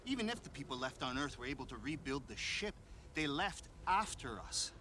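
An older male scientist in a sci-fi video game, acted by a man. He speaks in a grave, measured, disbelieving way. Clear rumbling ambience runs behind him. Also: big pitch swings, noisy background, lightly acted. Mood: grave disbelief.

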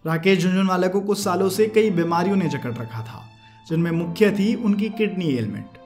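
A man's voice: high-pitched, lively intonation, moderate pace. A man reading a scripted biographical narration as a narrator. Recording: prominent background music. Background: instrumental music.

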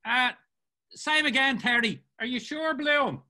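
An actor with an Irish accent playing a jaunty Dublin drinker who trades gossip, read over a video call. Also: male voice, very high-pitched, a little slow, bright, forceful tone, heavily acted. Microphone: video call webcam.

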